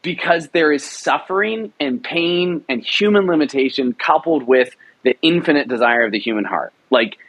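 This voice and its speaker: A man's voice: fairly high pitch, animated, moderate pace, hardly any pauses, fairly bright tone. A man, a drama producer, talking earnestly in an interview, emphatic and often repeating words as he thinks. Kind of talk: passionate conversational interview.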